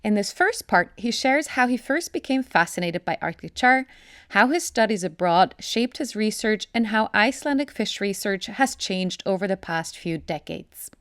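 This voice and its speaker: A woman opens a podcast with a scripted, enthusiastic introduction. Her voice sits at a middle pitch and is recorded close and dry. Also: expressive, normal speed, no room sound. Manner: upbeat, enthusiastic. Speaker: podcast host.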